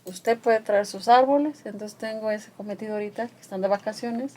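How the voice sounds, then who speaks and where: A woman's voice: mid-range voice, fairly even intonation, a little fast, warm tone. An older woman from the Mixe region, a grandmother, talking animatedly and emphatically as a guest on a radio program. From Mixe region of Oaxaca, Mexico. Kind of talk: conversational radio talk.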